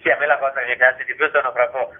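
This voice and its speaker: A man talks over a thin, telephone-like connection in a live radio interview. His voice sits at an average pitch. He explains things discursively, piling up examples and repeating himself as he goes. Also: flat delivery, rapid-fire, breathy edge. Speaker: theatre festival organiser being interviewed. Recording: tinny phone line on radio.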